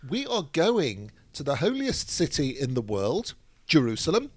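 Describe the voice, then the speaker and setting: A man's voice: fairly high pitch, wildly swooping pitch, unhurried, no echo. Dry-humoured Manchester man. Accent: Northern English, Manchester. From England. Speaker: podcast co-host. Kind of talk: casual chat.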